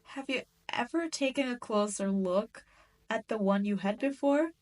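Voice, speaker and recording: A woman's voice: fairly high pitch, lively intonation, lightly acted. A woman playing a cruel, arrogant demon queen in a roleplay audio drama, teasing and condescending as she speaks straight to the listener. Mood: amused, condescending. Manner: teasing, condescending, drawn-out delivery. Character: cruel, arrogant adult female demon queen, mocking and possessive.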